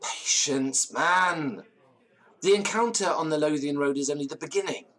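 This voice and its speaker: An actor playing a pompous, excitable gentleman who speaks in florid, theatrical language in an audio drama. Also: a little high, normal speed, close to an everyday voice. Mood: grandly narrating. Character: pompous, excitable Victorian-style gentleman storyteller. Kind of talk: dramatic first-person storytelling in audio drama.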